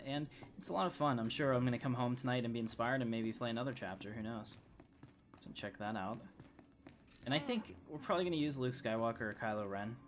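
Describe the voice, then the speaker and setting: A man giving casual, chatty gameplay commentary as a streamer. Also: medium pitch. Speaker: game streamer.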